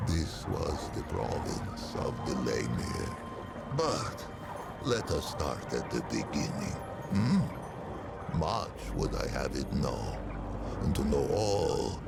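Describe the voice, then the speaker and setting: A man voicing an ancient, weary, non-human priest of the dead in a video game, with a gravelly voice and a slow, formal, archaic, musing manner. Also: heavily acted. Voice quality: deep gravelly creaking voice. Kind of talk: theatrical video game character dialogue. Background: music.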